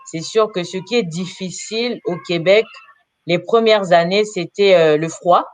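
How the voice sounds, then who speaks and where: A woman in her thirties from Congo, answering interview questions about her life as a career counselor, often pausing with filler sounds. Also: lowish voice. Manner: hesitant, frequent filler pauses.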